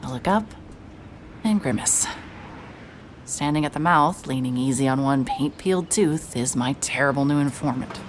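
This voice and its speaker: A hard-boiled female private investigator in a noir audio drama. Her voice sits low, with a dry, sardonic, irritable edge. Clear ambient noise runs behind her. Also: normal speed. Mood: wry, weary. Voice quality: low-pitched voice. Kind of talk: cinematic audio drama, noir narration and naturalistic dialogue.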